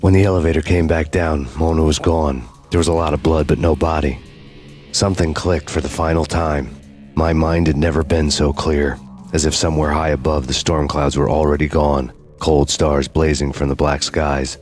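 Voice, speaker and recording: Brooding hardboiled cop narrator, really low flat voice, grim noir revenge stuff, video game. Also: male voice, moderate pace, faint music behind. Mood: somber, resolute. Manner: deadpan, grim. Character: brooding, vengeful hardboiled cop narrating his own story.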